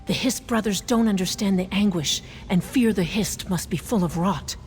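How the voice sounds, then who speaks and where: A woman's voice: medium pitch, normal speed, a little mellow, lightly acted. Actress as uneasy lizard lady game guide, thoughtful, big echo, bit of music. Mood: pensive, uneasy. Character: pensive, uneasy female lizard-folk guide with claws. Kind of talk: video game character acting. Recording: long hall reverb.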